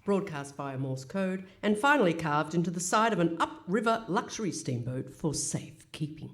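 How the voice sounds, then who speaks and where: A straight-faced female announcer, acted by a woman in a radio comedy. Her voice is slightly low, and she narrates nonsense with deadpan formality. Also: normal speed, close to an everyday voice. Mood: mock-solemn. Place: hall stage, live performance.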